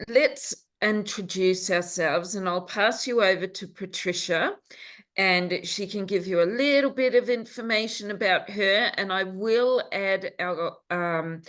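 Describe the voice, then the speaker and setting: A woman hosting a casual chat about astrology, speaking gently and with enthusiasm. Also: lowish voice. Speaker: host and astrologer. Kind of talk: casual conversation over video. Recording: roomy echo.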